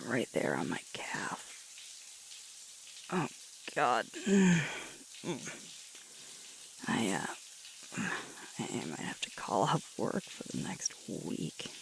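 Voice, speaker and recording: Sweet, weary alpha werewolf girlfriend, female performer for ASMR roleplay: slow, breathy, murmured delivery with very wide pitch swings. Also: full character voice. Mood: tender, sore, soothed. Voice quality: breathy, often dropping to a whisper. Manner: halting, intimate, with sighs and murmurs. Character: sweet, playful young alpha werewolf girlfriend, tired and sore. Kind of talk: intimate ASMR audio roleplay storytelling. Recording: loud hissing shower water throughout. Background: running shower water.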